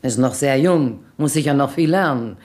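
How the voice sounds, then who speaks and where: An actress playing a brisk, officious employment agent for housemaids in a German radio play, giving clipped commands. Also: female voice, a bass voice, lively intonation, darkish tone. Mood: businesslike.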